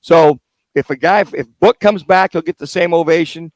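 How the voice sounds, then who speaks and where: An American man with a fairly high voice talks through sports analysis in a casual radio co-host style. The sound is dry, with very little room around him. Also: normal speed, no room sound. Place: live remote radio broadcast at a car dealership.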